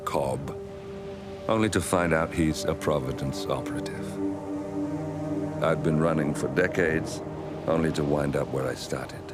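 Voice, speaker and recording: Gruff, weary mercenary. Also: male voice, rumbling low, unhurried, long pauses, loud music behind the voice. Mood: grim. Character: grizzled, weary male ex-security mercenary. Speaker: mercenary leader. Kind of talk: video game cutscene acting. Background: orchestral score.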